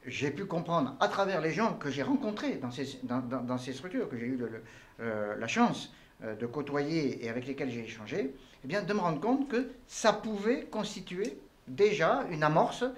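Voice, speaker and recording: A man's voice: on the high side, expressive, normal speed. An older man from Tunisia, answering interview questions in formal, elaborate phrasing with frequent hesitations. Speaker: entrepreneur guest.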